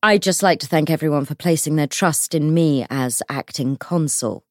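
A woman's voice: low-pitched, moderate pace, a little mellow, dry recording, studio quality, close to an everyday voice. A woman voicing a pompous, formal male official making a declamatory speech in an audiobook. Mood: self-important.

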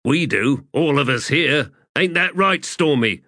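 A man's voice: a little high, animated, unhurried, bright, forceful tone, no room sound, clean studio sound, voice pushed up, exaggerated voice. A male narrator voicing a crude, sardonic marine sergeant who talks in coarse soldier slang.

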